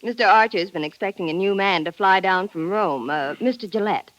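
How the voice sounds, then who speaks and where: An actress playing a polite, forthcoming American relief office employee, slightly hesitant, in an old radio drama. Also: female voice, mid-range voice, a little fast, fairly bright tone, dry recording, close to an everyday voice. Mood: helpful. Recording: muffled old radio recording.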